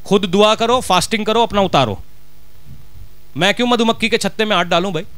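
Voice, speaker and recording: High-voiced Punjabi pastor preaching. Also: male voice, brisk, a bit bright, clean tone. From Punjab, India. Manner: emphatic preaching with rhetorical questions and Punjabi words. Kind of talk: sermon. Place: church stage, indoors. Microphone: handheld mic.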